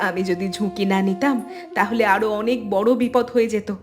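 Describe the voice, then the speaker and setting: A woman's voice: mid-range voice, steady tone, brisk, over music, lightly acted. A woman playing a protective elder sister-in-law in a cartoon melodrama, firm and reassuring. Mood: gentle, regretful.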